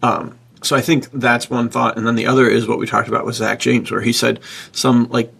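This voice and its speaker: A man with a husky, gravelly voice, hosting a casual podcast discussion in an encouraging, opinionated manner. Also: medium pitch, little pitch movement, a little fast, a bit bright. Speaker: podcast host.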